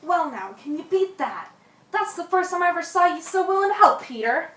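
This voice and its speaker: A woman's voice: extremely high pitch, sing-song, a little fast, fairly bright tone, full character voice. A woman playing an old male sailor who spins yarns in an amateur radio play, stumbling over the reading. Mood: teasing. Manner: stumbles while reading narration. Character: old male sailor Forty Fathom, grumbling but obliging yarn-spinner. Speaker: storyteller. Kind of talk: amateur radio drama acting. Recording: roomy, distant mic.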